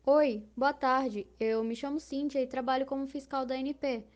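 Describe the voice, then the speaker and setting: A woman's voice: sits high, steady tone, normal speed, a little mellow, lightly acted. A woman with a Brazilian accent voicing a patient female inspector who explains step by step in a scripted educational cartoon. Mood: explanatory.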